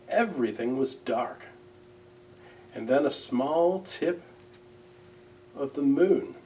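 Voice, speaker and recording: A man reading a children's storybook aloud in a gentle, measured, expressive way. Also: mid-range voice, far from the mic, slight background noise, poor audio. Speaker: library director reading aloud.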